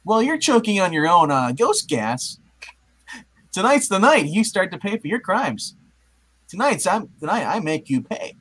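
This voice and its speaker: A reader playing an earnest, protective young male superhero in a hammy, halting live comic book puppet reading. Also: mid-range voice, big pitch swings, a bit bright, husky, heavily acted. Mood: defiant. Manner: hammy, halting read-aloud with asides.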